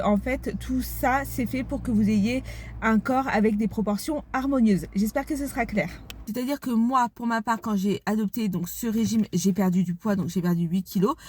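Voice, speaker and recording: A woman's voice: mid-range voice, a little mellow, dry recording. A woman with a blocked nose from a cold, chatting casually in a vlog with frequent fillers. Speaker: vlogger. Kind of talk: casual vlog talk to camera.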